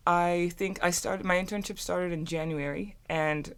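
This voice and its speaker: A woman's voice: lowish voice, steady tone, normal speed, clean tone. Chatty young woman from Turkey. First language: German. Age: 20s. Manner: chatty, lots of "like" and restarts, laughs often. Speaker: student co-host. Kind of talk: casual conversational storytelling.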